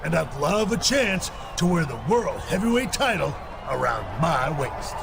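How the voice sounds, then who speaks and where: An actor playing a confident, sarcastic wrestling champion in a video game cutscene. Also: male voice, fairly high pitch, big pitch swings, a little slow, vocal fry, background noise, full character voice. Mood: cocky. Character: cocky male wrestling champion, quick with comebacks.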